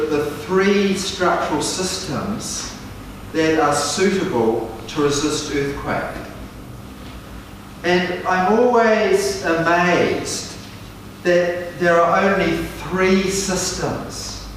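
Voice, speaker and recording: A man in his sixties with a New Zealand accent, giving a university seminar lecture slowly and deliberately. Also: on the high side, noisy background. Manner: slow, deliberate delivery. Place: large lecture hall. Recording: echoey lecture hall from far off.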